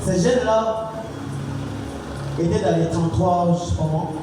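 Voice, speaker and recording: High-voiced young preacher in an echoey church. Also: male voice, a little slow, background noise. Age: young adult. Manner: repetitive exhorting, call-and-response. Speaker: youth preacher. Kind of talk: sermon. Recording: echoey hall from far off, music behind.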